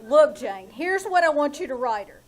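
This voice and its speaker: A dry, sarcastic husband with a quick wit, played by a woman. The voice sits fairly high, and every line lands as a wry aside in a live old-time radio comedy staged in a small theater. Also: lively intonation, a crowd in the background. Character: wry husband Ace, a dry-witted man.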